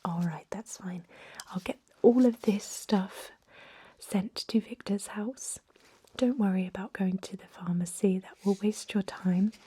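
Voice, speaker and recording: A woman whispering an ASMR roleplay as a gentle, reassuring vampire doctor, with hesitant, soothing bedside patter close to the microphone. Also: mid-range voice, steady tone, a little slow. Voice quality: breathy whisper.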